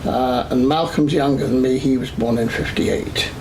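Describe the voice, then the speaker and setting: A man in his sixties with an English accent, recounting his life in a measured oral history interview full of "uh" pauses. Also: medium pitch, steady tone, a little mellow, slight background noise, poor audio. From Scotland, raised in England. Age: 60s. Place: his home.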